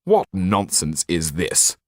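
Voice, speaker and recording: A man's voice: low-pitched, very animated, slow pace, dry recording, clean studio sound, heavily acted. A man voicing a pompous, foolish aristocratic marquis with an affected drawl. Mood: disbelief.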